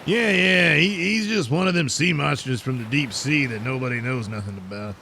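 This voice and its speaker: A man's voice: mid-range voice, normal speed, pushed and bright, a little hiss or hum. Man playing a folksy, nonchalant sea superhero. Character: casual, folksy male aquatic superhero.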